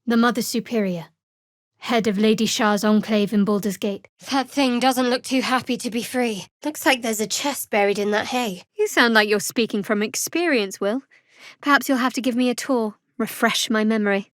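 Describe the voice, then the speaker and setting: Actress as guarded young female cleric, fantasy game. Higher register, dry sardonic delivery, studio-dry sound. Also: moderate pace, no echo, close to an everyday voice. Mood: grave, measured. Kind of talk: dramatic fantasy video game dialogue.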